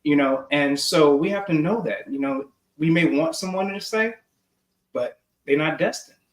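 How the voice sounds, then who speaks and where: A man, a psychologist, telling a confiding story in a reflective chat over a video call, trailing off softly at the ends of phrases. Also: a little high, normal speed. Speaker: clinical psychologist. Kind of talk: reflective conversation. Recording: echoey room.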